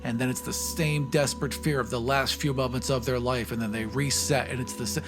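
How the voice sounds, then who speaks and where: A man's voice: medium pitch, steady tone, fairly quick, over music. A man co-hosting a casual panel discussion, talking emphatically and repeating phrases for effect.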